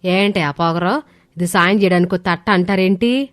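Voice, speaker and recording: A woman's voice: fairly low pitch, moderate pace, no echo, close to an everyday voice. A woman voicing a traditional older mother-in-law, firm and caring, with an earnest, admonishing way of talking. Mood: indignant. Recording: studio voice over background music.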